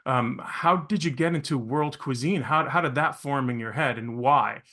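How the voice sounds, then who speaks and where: A man's voice: mid-range voice, moderate pace, sharp tone. A middle-aged man from Venezuela hosting a podcast in a polished, effusive, showman-like way. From Caracas, Venezuela. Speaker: podcast host. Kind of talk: polished scripted introduction, then interviewing.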